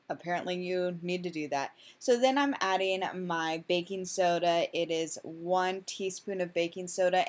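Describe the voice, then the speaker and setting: A woman vlogger narrates her kitchen meal prep in a relaxed, chatty voice-over. Her voice sits on the low side, and she rambles, corrects herself and pokes fun at herself. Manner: chatty, self-deprecating, rambling with fillers. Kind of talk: casual cooking voice-over.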